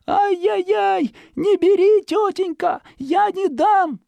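A woman voicing a tiny, plucky, cheeky little boy in a storybook, with shrill childlike pleading and boasting. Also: takes it slowly, vocal fry, dark tone, no room sound, full character voice. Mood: frightened, indignant.

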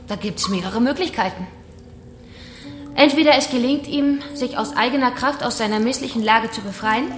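An actress playing a controlled, taunting kidnapper who runs a betting game in a radio crime drama. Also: female voice, a little high, normal speed, natural voice. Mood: cool, explanatory. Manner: controlled, taunting, matter-of-fact. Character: cool, mocking female kidnapper running a betting game. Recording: echoing room with music behind.

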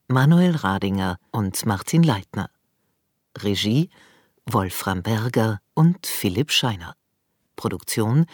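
A man reading scripted radio credits as an announcer, in a measured, formal way. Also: fairly low pitch, husky, no room sound, studio quality.

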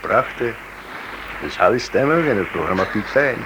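Genial, animated man in a radio-play role. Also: fairly low pitch, normal speed, close to an everyday voice. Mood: amused. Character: genial, teasing man out with his sweetheart. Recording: radio play with loud background noise.

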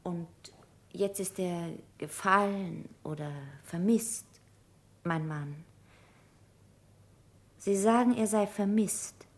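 An actress in a German radio play playing a sorrowful, composed nurse with a hushed, restrained delivery. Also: female voice, medium pitch, slow, pauses often. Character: sorrowful, composed female nurse, quietly resolute, married.

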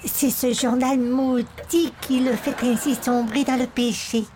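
A woman's voice: high-pitched, steady tone, a little slow, darkish tone, slight background noise. A woman playing a prim, pious, easily scandalized visitor in a radio comedy, speaking in a formal, melodramatic manner. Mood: pitying, disapproving. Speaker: actor in an audio comedy.

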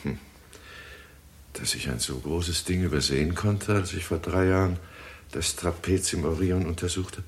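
An actor playing a brisk, courteous leading astronomer in a 1960s German radio drama. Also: male voice, deep voice. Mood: absorbed, puzzled. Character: Doctor Marlow, brisk leading astronomer at observatory. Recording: 1960s radio recording with background noise.

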